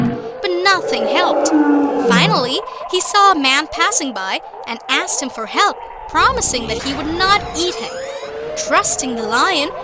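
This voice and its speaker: A woman voicing a patient cartoon mother who tells children fables in an expressive, instructive way. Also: high voice, full character voice. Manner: expressive, didactic. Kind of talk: children's storytelling.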